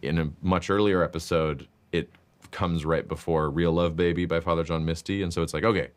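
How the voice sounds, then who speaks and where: A young man with a very deep voice talks loudly in a relaxed interview. He talks in quick, animated bursts and leans hard on filler words. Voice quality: loud voice. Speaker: guest musician and composer. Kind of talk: casual interview chat.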